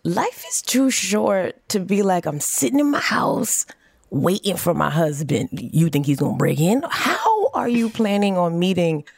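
A woman guest on a podcast telling stories in a sassy, animated way. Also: mid-range voice, a little slow, vocal fry, slightly rough, no room sound, clean studio sound. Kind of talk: casual podcast chat.

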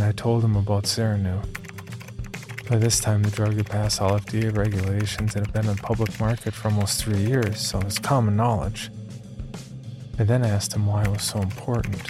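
A man performing scripted first-person horror narration as an uneasy young man, in a measured, confiding way with deliberate pauses. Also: fairly low pitch, natural voice. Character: uneasy young man recounting his sleep disorder. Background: background music.

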